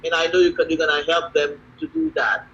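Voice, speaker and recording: A woman's voice: sits low, big pitch swings, brisk, fairly bright tone, highs cut off. A woman hosting a casual live video chat, affirming her guest with frequent "mm-hmm" and "okay". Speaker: host.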